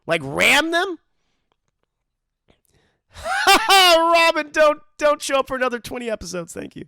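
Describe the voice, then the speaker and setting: Lively high-pitched man with gravelly edge. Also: measured pace, a little hiss or hum. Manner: joking, animated, mock-voices characters. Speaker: commentary host. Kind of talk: casual cartoon commentary.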